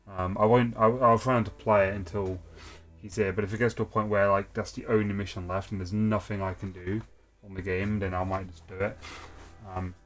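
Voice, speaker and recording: A man's voice: lowish voice, little pitch movement, brisk. A young man with a cold chatting loosely while he plays a video game, rambling with lots of fillers and restarts. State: has a cold. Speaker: gaming streamer. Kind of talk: casual let's-play chat.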